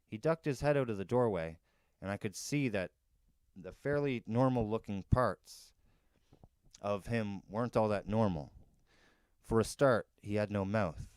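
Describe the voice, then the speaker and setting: A man's voice: lowish voice, a little mellow, smooth, no echo. A man reading a novel aloud as a first-person storyteller. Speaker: narrator, podcast storyteller.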